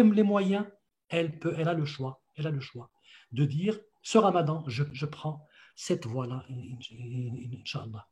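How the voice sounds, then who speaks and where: A man's voice: high-pitched, darkish tone. A man giving an earnest religious talk, repeating words for emphasis. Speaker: religious teacher. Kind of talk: religious talk answering questions.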